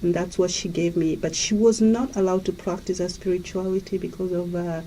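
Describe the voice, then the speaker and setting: A woman from Kenya, a life coach, telling her story as a guest in a radio interview in a gentle, storytelling way. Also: on the low side, fairly even intonation, fairly quick, slight background noise, rough recording.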